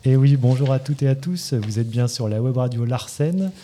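Guy hosting a little web radio show, super mellow dark tone, lots of ums. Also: medium pitch, normal speed, slight background noise. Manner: frequent hesitations with filler sounds. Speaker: radio presenter, care worker.

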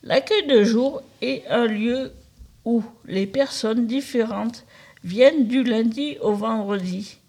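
A woman in her fifties reading prepared statements slowly and carefully on a community radio show, restarting words at times. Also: fairly high pitch, a little mellow, husky. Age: 50s. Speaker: day centre participant.

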